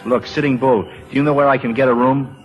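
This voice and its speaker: An actor playing a tough, world-weary American drifter, brusque and sardonic, in 1940s radio drama style. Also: male voice, mid-range voice, fairly even intonation, fairly quick, close to an everyday voice. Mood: brusque, impatient. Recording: reverberant old radio drama, music behind.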